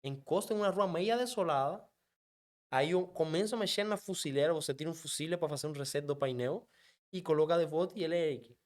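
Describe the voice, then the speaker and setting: Cuban guy talking fast, spanish accent, mixing in spanish words, voice sits a bit high. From Cuba. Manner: animated, quick, mixes Spanish words into Portuguese. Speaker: guest, app driver. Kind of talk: casual storytelling interview.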